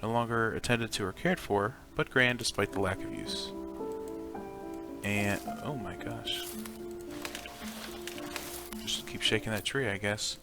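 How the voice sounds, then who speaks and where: A man's voice: on the low side, unhurried, pauses often. A man hosting a gaming video, giving casual, offhand let's play commentary as he thinks aloud. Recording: music behind the voice.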